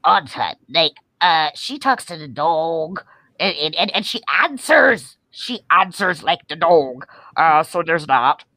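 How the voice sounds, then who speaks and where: A chatty young puppet boy, voiced by a woman, speaks in a bright, forward voice. The delivery is halting and rambling, with a sheepish air, in a very dry close sound. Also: deep voice, animated, no room sound, heavily acted. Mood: chatty, hesitant. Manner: halting, rambling, searching for words. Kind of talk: improvised puppet interview.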